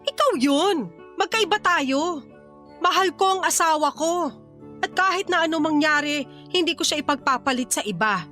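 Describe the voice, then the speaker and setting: Loyal, fed-up Filipino mother for radio drama: high-pitched, sharp, emphatic reproach. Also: expressive, normal speed. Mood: firm reproach. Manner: scolding, firm, emphatic. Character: exasperated, loyal middle-aged wife and mother. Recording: music behind the voice.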